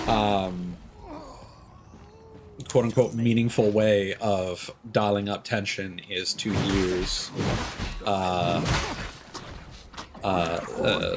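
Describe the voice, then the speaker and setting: A man's voice: lowish voice, background noise. A young man streaming a video game, talking casually and frankly, rambling and swearing freely. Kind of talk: casual gameplay commentary.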